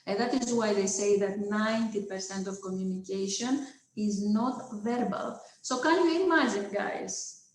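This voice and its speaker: A woman's voice: on the high side, roomy sound, rough recording. A woman with a Greek accent giving an online lecture over a video call, hesitant, with many filler sounds, checking in with her listeners. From Greece.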